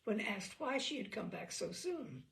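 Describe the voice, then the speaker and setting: A woman's voice: medium pitch, big pitch swings, moderate pace, creaky, a bit bright. A woman reading a story aloud with dry, wry storytelling. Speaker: reader of stories.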